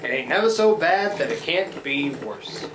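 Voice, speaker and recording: A woman's voice: low-pitched, sing-song, moderate pace. A woman playing a scruffy, easygoing male riverboat skipper in a live radio play, with folksy working-class speech, chatty and grumbling but deferential. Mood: hospitable, easygoing. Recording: echoey live stage, noticeable room noise.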